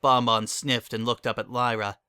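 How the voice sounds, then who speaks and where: Male narrator for a dramatic story reading: bright, forward tone, very dry recording. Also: mid-range voice, little pitch movement, moderate pace, smooth, dry recording.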